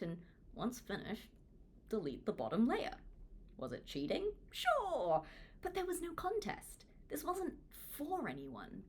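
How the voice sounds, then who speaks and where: Female narrator, lowish voice with animated swings, informal read-along delivery, slight background noise. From Hong Kong. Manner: expressive, informal, self-deprecating. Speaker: author reading their own book aloud. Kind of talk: audiobook read-along with casual asides.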